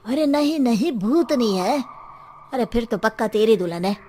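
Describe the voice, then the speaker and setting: A woman's voice: on the high side, animated, moderate pace, mellow, slight background noise, close to an everyday voice. A woman voicing a wisecracking, exasperated neighbour in a comic dramatized story. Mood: teasing.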